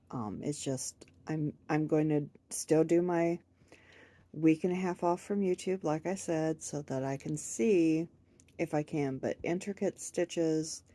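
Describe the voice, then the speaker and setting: A low, slow-talking woman, her voice scratchy and congested from a cold. She rambles through a casual home vlog, laughing at herself often. Her tone is dark and mellow. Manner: rambling, self-deprecating with frequent laughs. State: hoarse, stuffed up from a cold. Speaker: crochet vlogger. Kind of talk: casual chat to camera.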